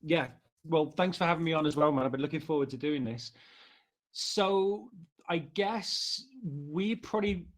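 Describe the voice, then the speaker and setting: A man's voice: on the high side, unhurried. A man from Liverpool with a Liverpool accent, talking casually as an interview guest. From Liverpool, England. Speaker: interview guest, marketing director.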